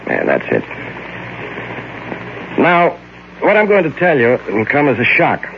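Measured, formal doctor, dull old radio. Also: male voice, mid-range voice, huge pitch swings, gravelly, background noise, full character voice. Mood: calm, reassuring. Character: composed, paternal psychiatrist treating a patient. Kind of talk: 1940s radio drama acting. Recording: dull old radio recording, faint music.

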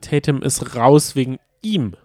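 A man co-hosts a relaxed recap podcast with a voice of average pitch. He chats casually and steers the talk with questions. The recording is dry and free of room sound. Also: measured pace, no room sound. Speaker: podcast co-host. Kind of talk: casual reality-TV recap chat.

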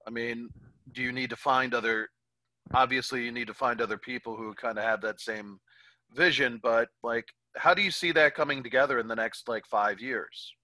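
Bright, dry-sounding male host. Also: medium pitch, steady tone, normal speed, no room sound, rough recording. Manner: frequent short backchannel affirmations. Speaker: podcast host. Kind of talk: casual interview.

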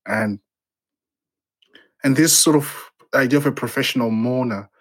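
A man's voice: medium pitch, a bit bright. Hesitant, reflective man from Zimbabwe. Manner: hesitant, halting, many restarts and fillers. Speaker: playwright guest. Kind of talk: reflective interview conversation.

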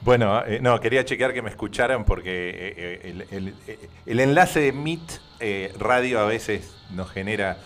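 Halting Argentine co-host with a lowish voice. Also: male voice, a bit bright, soft background music. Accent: Rioplatense Spanish. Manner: halting, lots of fillers and restarts, friendly. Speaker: podcast co-host. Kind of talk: casual chat. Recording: remote call link.

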